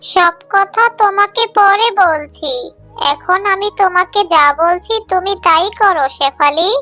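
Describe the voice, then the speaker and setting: A woman's voice: extremely high pitch, measured pace, sharp tone, faint music behind, full character voice. A woman voicing a kind, long-suffering magical talking cow in a cartoon, plaintive and earnest. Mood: reassuring. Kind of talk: cartoon voice acting.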